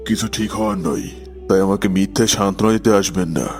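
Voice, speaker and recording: A man's voice: low-pitched, fast, soft background music. A man playing a gloomy, dignified king in a cartoon, speaking in a formal, sombre manner. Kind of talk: Bengali cartoon voice acting.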